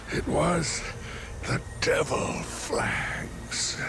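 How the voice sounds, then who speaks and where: An actor playing a dying treasure hunter father, wistful and tender, with a breathy, halting delivery in a dramatic game cutscene. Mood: weak, wry. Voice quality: breathy, gravelly. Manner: halting, labored speech. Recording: music under the voice.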